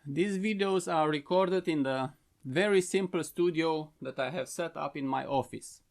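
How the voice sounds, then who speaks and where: Romanian-accented man, gravelly earnest delivery. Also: a little high. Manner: measured, earnest explanatory delivery. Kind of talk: prepared talk to camera. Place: simple home-office studio.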